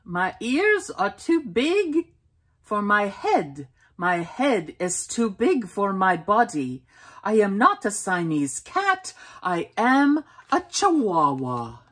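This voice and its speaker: A woman voicing a playful young male kitten with an excited, singsong voice. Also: medium pitch, slow pace. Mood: proud.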